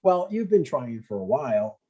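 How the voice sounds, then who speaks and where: A man reads the part of an older father in a comedy script. His voice is soft-edged and husky. He chats breezily and needles gently, stubborn in an everyday, natural way. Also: medium pitch, sing-song, moderate pace, muffled recording. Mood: pleased, chatty.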